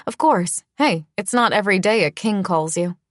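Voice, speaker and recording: A woman's voice: mid-range voice, moderate pace, dry recording, clean studio sound, lightly acted. A woman voicing a caring, upbeat young female best friend in a breezy, reassuring manner.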